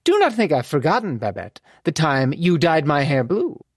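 A fond, wry older madame from a storybook, as an audiobook narrator puts her on. She is a formal, imperious woman with crisp, teasing phrasing. The voice sits well above the reader's own, in a dry, close recording. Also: deep voice, sing-song, unhurried, a little mellow, no echo, pitched above the performer's own voice, full character voice. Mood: waspish, teasing. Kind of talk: audiobook character dialogue.

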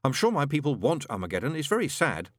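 A man's voice: medium pitch, big pitch swings, fast talker, no room sound, clean studio sound, voice pushed up. A man voicing a mild, well-meaning male angel in an audiobook, politely hesitant and a little fussy. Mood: regretful. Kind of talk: audiobook character dialogue.

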